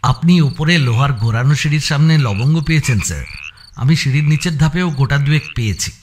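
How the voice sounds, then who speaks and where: A man's voice: a little high, expressive, fairly quick, a little hiss or hum, close to an everyday voice. An actor playing a detective's keen assistant in an audio story, inquisitive and deferential as he questions his superior. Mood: questioning. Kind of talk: Bengali audio story acting.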